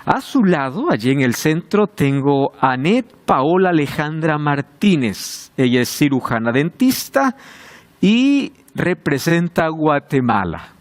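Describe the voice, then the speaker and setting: A man's voice: a little high, sing-song, measured pace. A man hosting a televised Bible study, welcoming and speaking directly to the viewers. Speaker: host of a Bible study show.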